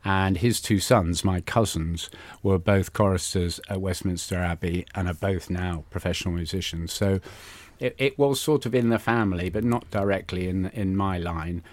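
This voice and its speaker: Casting: male, sixties, Londoner's accent, lowish voice, dry studio sound; hesitant, self-deprecating, chatty interviewee. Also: normal speed, dry recording. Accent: London English. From London, England. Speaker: interview guest, church musician. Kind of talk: casual reminiscing in a studio interview. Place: radio studio.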